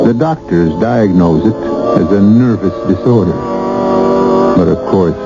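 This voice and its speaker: Casting: male mystery host, low voice, measured and wry, muffled vintage radio sound with music. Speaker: host and narrator. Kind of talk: ominous scripted radio narration.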